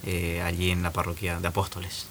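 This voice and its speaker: A man from Argentina with a lowish, gravelly voice announces details in an orderly way, his tone bright despite the rasp. Faint ambience sits behind him. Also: monotone, brisk, a little hiss or hum. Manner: orderly, informative, with fillers. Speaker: newly ordained priest, guest. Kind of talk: casual radio interview.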